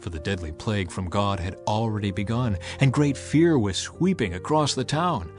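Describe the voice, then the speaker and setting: A man's voice: fairly low pitch, animated, moderate pace, soft background music. A man narrating a dramatized scripture audiobook in a solemn, dramatic storytelling manner.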